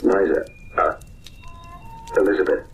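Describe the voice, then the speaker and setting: A man's voice: low voice, fairly even intonation, a little slow, slight background noise. An actor playing an anxious, apologetic man in an old-time radio mystery, hesitant and stammering. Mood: apologetic, pleading.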